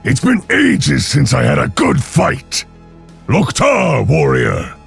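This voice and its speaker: Video game Horde war-leader, male: deep-fried gravel, slow, gruff, battle-hungry. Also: huge pitch swings, soft background music, full character voice. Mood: battle-eager. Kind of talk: video game hero voice lines.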